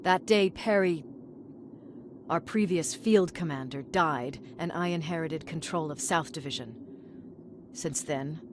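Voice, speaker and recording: An actress playing an embittered, commanding military field commander in a video game, blunt and profane in manner. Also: female voice, sits low, unhurried, slight background noise. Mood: grim, controlled. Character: hard-edged, embittered female resistance field commander. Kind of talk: dramatic video game acting.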